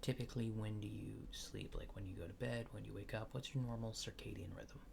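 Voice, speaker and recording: A man speaks softly, sometimes whispering, in an ASMR roleplay as a casual alien abductor running a survey. His voice has a creaky, gravelly fry. He asks in a hesitant, deadpan way, over clear background noise and keyboard typing. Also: on the low side, fast talker. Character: laid-back alien abductor giving a survey. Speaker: ASMR creator. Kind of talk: soft-spoken ASMR roleplay interview, partly whispered.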